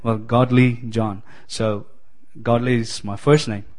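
Guy from giving a sermon, lots of ums and restarts, middle pitch, friendly. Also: flat delivery, slow, a little mellow. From Kerala, India. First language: Malayalam. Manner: hesitant, many fillers and restarts, friendly. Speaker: guest preacher.

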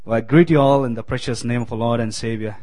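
Guy from giving a sermon, lots of ums and restarts, middle pitch, friendly. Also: fairly even intonation, fast, a little mellow. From Kerala, India. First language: Malayalam. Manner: hesitant, many fillers and restarts, friendly. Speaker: guest preacher.

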